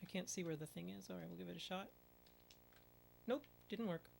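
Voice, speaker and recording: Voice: medium pitch, fairly quick, gravelly, slight background noise, rough recording. A speedrunner giving casual commentary over a run, muttering at the game and using mild minced oaths.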